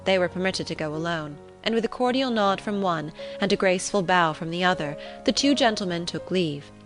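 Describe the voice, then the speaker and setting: A woman reading a classic novel as an audiobook narrator, in a measured, formal manner. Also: fairly low pitch, expressive. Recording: relaxing music behind the voice.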